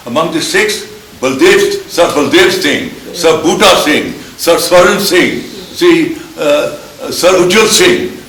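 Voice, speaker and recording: An older man from Punjab, Pakistan, speaking from the audience at a panel discussion in a slow, lecturing way, listing names. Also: fairly high pitch, a bit bright. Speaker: audience member. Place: press club event room. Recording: echoey hall, hissy.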